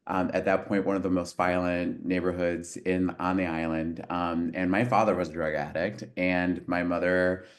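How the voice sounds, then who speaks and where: A man from Puerto Rico co-hosting a podcast, speaking in an earnest, heartfelt way with halting restarts. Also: deep voice, little pitch movement. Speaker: podcast co-host. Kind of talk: casual podcast conversation.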